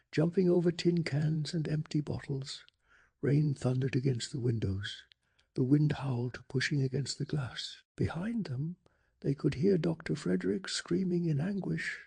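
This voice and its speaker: A man narrating a bedtime story in a soft-spoken ASMR style, with a breathy, hushed and dramatic delivery. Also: a little high.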